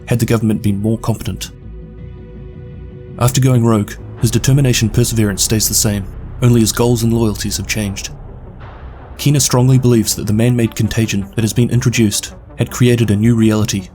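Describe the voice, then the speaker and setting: A man with a New Zealand accent narrating a scripted lore recap in a brisk, steady delivery. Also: lowish voice. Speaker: video game lore narrator.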